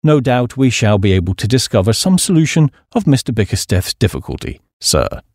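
A man voicing an unflappable, deferential English valet, with a formal, measured and deadpan British delivery. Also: medium pitch, no echo, clean studio sound. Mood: deferential, composed.

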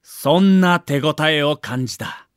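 A man's voice: fairly high pitch, expressive, unhurried, clean tone, dry recording, studio quality. A man giving dramatic scripted narration for an audio documentary.

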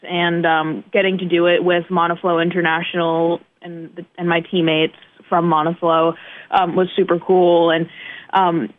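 A young woman from the northeastern United States, a guest racing driver answering in casual interview talk, relaxed and modest with many fillers. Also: lowish voice, moderate pace. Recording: tinny phone-like line.

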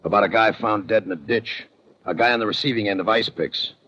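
Low-voiced, hard-boiled police lieutenant. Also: male voice, fairly quick, slight background noise. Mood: dry, needling. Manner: clipped, hard-boiled, deadpan sarcasm. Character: tough, sardonic male homicide police lieutenant. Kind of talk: 1950s radio crime drama acting. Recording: dull, muffled 1950 radio recording.

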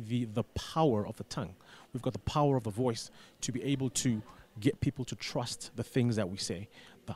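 Man, halting, repeating for emphasis. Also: medium pitch, a little mellow. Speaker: radio business manager, studio guest. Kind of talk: conversational interview answers.

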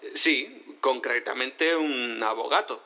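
An actor playing an eager, slightly hesitant talking cat who works as a novice lawyer in an audio drama. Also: male voice, mid-range voice, slow, close to an everyday voice. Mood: eager, flustered. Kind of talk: audio fiction acting. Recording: muffled courtroom radio feed.

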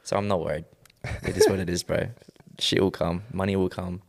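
A man's voice: low-pitched, level tone, fairly quick, creaky. A man, laid-back and slangy in casual podcast chat. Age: 20s. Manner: laid-back, slangy, says "bro" often. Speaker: podcast guest.

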